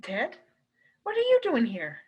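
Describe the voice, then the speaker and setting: A brisk, kindly wife from a radio drama, played by a woman, firm when she needs to be. Her voice is fairly high and lively in pitch, heard over clear background noise and clicks. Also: dull audio. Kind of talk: live radio play acting. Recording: clearly noisy streamed audio, clicks.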